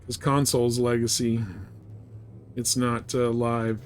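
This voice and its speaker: A man's voice: mid-range voice, little pitch movement, takes it slowly, soft background music. A man giving casual live commentary on a game, thinking aloud and muttering asides to his viewers. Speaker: live streamer. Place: live game stream, indoors.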